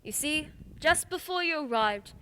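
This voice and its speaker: A high, bright voice gives us a woman acting a clever female detective in a radio play. She speaks formally and stays composed and unruffled. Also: expressive, normal speed, a little hiss or hum.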